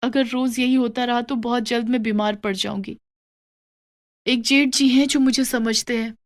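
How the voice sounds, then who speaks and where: A woman's voice: fairly high pitch, fairly even intonation, moderate pace, voice pushed up, lightly acted. A woman voicing an overworked young wife in a moral story, plaintive and polite. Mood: weary, plaintive. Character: overworked young daughter-in-law, weary but dutiful wife. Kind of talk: moral story character dialogue.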